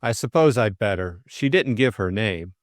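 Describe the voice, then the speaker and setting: A man playing a flippant, drowsy young gentleman of leisure in an audiobook, with a breezy, bemused and slightly hesitant manner. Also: fairly low pitch, animated, normal speed, dry recording, clean studio sound, close to an everyday voice. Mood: curious, flippant. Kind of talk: audiobook character acting.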